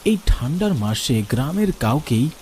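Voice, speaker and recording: A man's voice: medium pitch, sing-song, normal speed, darkish tone, a little hiss or hum. A man narrating a scripted horror story for a cartoon.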